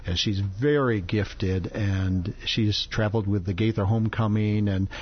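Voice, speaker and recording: A man's voice: on the low side, fairly even intonation, a little slow, slightly rough, muffled recording. A man hosting a radio show, giving chatty, upbeat event announcements. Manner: chatty and upbeat, laughs often. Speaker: radio host. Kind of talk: casual on-air announcements.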